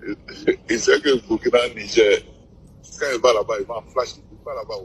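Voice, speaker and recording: A man with a West African French accent talking informally on a phone call, halting and often repeating himself. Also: mid-range voice, slight background noise. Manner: halting, repetitive phrasing. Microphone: telephone.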